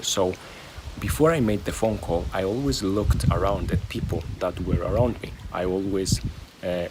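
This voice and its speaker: A man speaking informally as a video host, sharing personal advice in short, halting phrases with pauses and repeated words. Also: deep voice, very noisy. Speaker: video host and stuttering coach.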